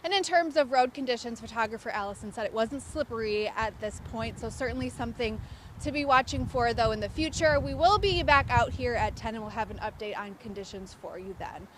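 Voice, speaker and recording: A woman's voice: fairly high pitch, lively intonation, a little fast. An American woman ad-libbing a live television news report from an outdoor parking lot. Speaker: TV field reporter. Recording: outdoor urban background noise.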